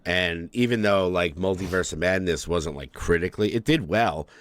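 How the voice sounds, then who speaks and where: A man's voice: low voice, normal speed. A man co-hosting a casual movie podcast, rambling through his points with lots of fillers, restarts and swearing. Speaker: podcast co-host.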